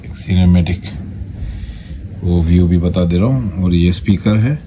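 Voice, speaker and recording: A man with an Indian accent giving a casual, step-by-step product walkthrough in short, halting phrases. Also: low voice, mellow. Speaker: product reviewer and vlogger. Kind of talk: casual unboxing walkthrough, Hindi mixed with English. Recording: noticeable background noise.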